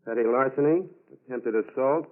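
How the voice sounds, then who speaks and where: A male actor as a confident federal agent in a 1940s radio play. He sounds matter-of-fact and methodical at an ordinary male pitch, through a thin, tinny vintage recording. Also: normal speed, lightly acted. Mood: businesslike. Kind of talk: 1940s radio drama acting.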